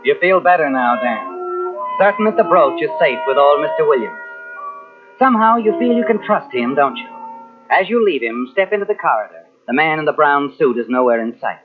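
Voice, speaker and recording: An actor playing a knowing, insinuating narrator in a 1940s radio suspense drama, speaking dramatically as if taunting the guilty hero. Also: male voice, fairly high pitch, animated, a little fast, music in the background, lightly acted. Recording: tinny old radio recording from cassette.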